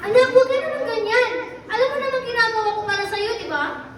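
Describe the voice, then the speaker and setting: A woman playing a long-suffering, apologetic seamstress mother who pleads gently in an amateur radio drama. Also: very high-pitched, expressive, fast talker. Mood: apologetic pleading. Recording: echoey, distant, noisy amateur recording.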